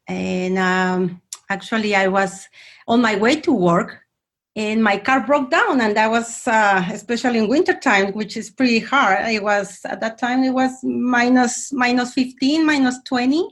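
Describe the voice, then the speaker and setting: A woman from Mexico, a podcast guest telling her story in a friendly, grateful way that laughs easily. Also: medium pitch. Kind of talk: conversational interview answers.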